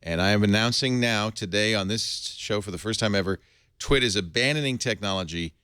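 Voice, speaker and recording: A man's voice: fairly low pitch, brisk, clear, resonant tone, dry recording, studio quality. A middle-aged man hosting a casual tech talk show, joking and laughing often. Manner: jokey, laughs often, animated delivery. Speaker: host.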